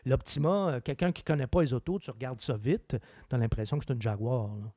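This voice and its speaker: A man with a Québécois French accent, a car expert chatting on a radio show in an opinionated, animated way. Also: medium pitch, a little fast, no echo, studio quality. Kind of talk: casual chat.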